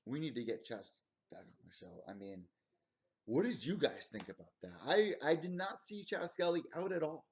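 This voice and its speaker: A man in his late twenties hosting a solo recap podcast, rambling and excitable, with plenty of fillers and restarts. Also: on the high side, normal speed, slightly rough. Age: 20s. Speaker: podcast host.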